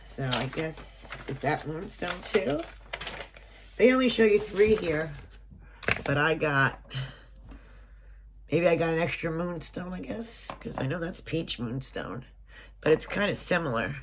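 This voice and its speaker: A woman's voice: low-pitched, lively intonation, unhurried, pauses often, background noise. A woman with a stuffy, nasal voice as if getting a cold, unboxing a subscription box at home, reading out items and prices with chatty asides. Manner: reads item lists and prices, chatty asides. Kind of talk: casual unboxing, reading from a booklet. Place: small room at home.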